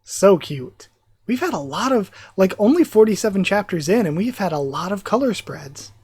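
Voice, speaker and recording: A YouTube reactor losing their voice, chatting excitedly in a live reaction while reading a manga aloud. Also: medium pitch, normal speed, husky.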